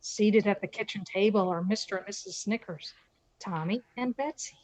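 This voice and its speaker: Woman, mid-pitched voice, narrator, scripted storytelling for a radio play. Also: moderate pace, darkish tone.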